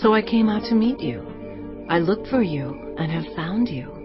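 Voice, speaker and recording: An actress playing a brazen married temptress in a dramatised scripture, coaxing with smooth, persuasive words. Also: female voice, medium pitch, lively intonation, moderate pace, natural voice. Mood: seductive, coaxing. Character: seductive, brazen married temptress luring a youth. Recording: muffled, music prominent behind voice. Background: prominent background music.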